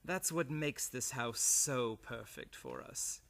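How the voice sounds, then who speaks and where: A man playing a menacing, domineering nephew in a radio play, smoothly polite but ready to give curt commands. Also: medium pitch, big pitch swings, takes it slowly, a bit bright, full character voice. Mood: scheming, controlled. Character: menacing, scheming nephew returning home, cold and domineering. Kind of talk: old-time radio play acting.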